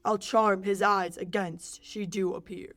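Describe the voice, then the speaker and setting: A woman's voice: mid-range voice, fairly even intonation, unhurried, a bit bright. A teenage woman playing a stern, commanding fairy king, delivering verse imperiously in an amateur Shakespeare radio play. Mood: commanding, incantatory. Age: late teens.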